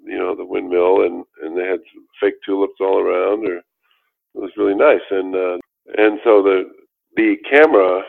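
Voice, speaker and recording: A man's voice: sits low, vocal fry. An older man telling a long personal story in a casual interview, rambling with many restarts and fillers. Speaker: guest telling his life story. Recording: tinny phone-like line.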